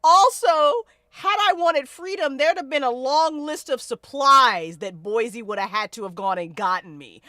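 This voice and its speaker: A woman hosting a casual panel chat, talking in an animated, slangy and emphatic way. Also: high voice, measured pace, few pauses, dry recording, studio quality. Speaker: host leading a fan discussion panel. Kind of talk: casual panel chat about a fiction podcast.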